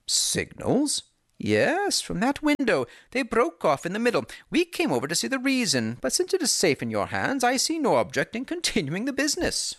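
A reader pitching up for an eager genius detective. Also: huge pitch swings, a bit bright, no room sound, studio quality. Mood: brisk. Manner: quick, eager, exclaiming. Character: brilliant excitable male detective. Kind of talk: audiobook character reading.

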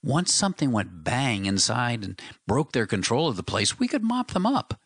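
A man's voice: medium pitch, big pitch swings, moderate pace, fairly bright tone, husky, dry recording, voice pushed up, exaggerated voice. A man reading the part of a big, determined man, with an urgent, eager delivery. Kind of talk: audiobook character reading.

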